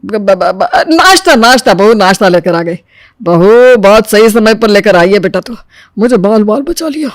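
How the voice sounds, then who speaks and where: A man's voice: high voice, lively intonation, moderate pace, dry recording, clean studio sound. Man acting timid, henpecked older father-in-law. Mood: flustered. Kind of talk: Hindi animated moral story acting.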